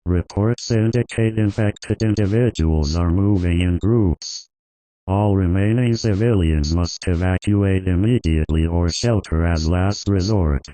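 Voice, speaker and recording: A man reading a scripted emergency broadcast alert in a flat, formal, measured and authoritative manner. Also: low-pitched, dry recording, poor audio.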